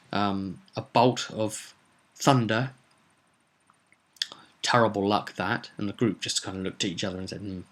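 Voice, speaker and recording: A man telling the story of a tabletop roleplay session as an informal recap, in a halting, conversational way. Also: lowish voice, sharp tone.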